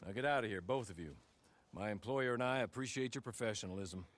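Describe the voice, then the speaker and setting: An actor playing a suave, courteous fixer in a video game cutscene, speaking with polished, formal diction and dry politeness. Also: male voice, on the low side, fast, natural voice. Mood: calm. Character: suave, courteous middle-aged male fixer. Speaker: outlaw rescuer in a game cutscene.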